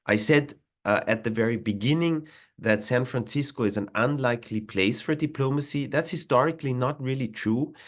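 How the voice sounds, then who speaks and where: A man's voice: medium pitch, normal speed. A man with an Austrian accent, a diplomat presenting over a video call, with frequent "uh" fillers and hesitations. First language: German. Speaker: diplomat giving a webinar talk.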